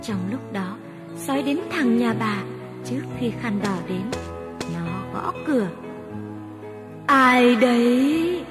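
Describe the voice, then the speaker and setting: A woman tells a children's fairy tale in a gentle, unhurried reading voice set fairly high. Her words carry a long reverberant tail. Soft music plays behind the narration. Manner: slow, gentle, clearly paced reading. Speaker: storyteller.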